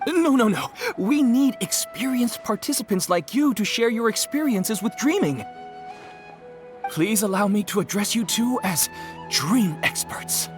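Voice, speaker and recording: A woman's voice: a little high, lively intonation, unhurried. A woman playing a polite, enthusiastic event organizer in an anime fantasy game, speaking in a formal, welcoming manner. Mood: eager. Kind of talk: anime game character acting. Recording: music behind the voice.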